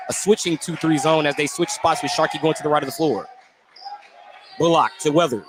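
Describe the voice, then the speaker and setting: A man doing live basketball play-by-play for radio, describing the action in running detail and correcting himself as he goes. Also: fairly high pitch. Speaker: play-by-play sports commentator. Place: indoor basketball gym. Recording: noisy live gym ambience.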